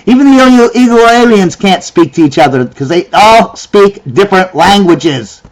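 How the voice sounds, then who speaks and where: A man's voice: high-pitched, lively intonation, normal speed, low-quality recording. A man giving reaction commentary in a ranting, sarcastic way, often repeating himself.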